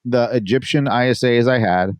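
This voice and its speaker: A man hosts a podcast in a relaxed, agreeable manner, often chiming in with short replies. His voice sits at a middle pitch for a man. The recording is dry and close. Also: moderate pace, clear, resonant tone, dry recording. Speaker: podcast host. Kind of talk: casual podcast conversation.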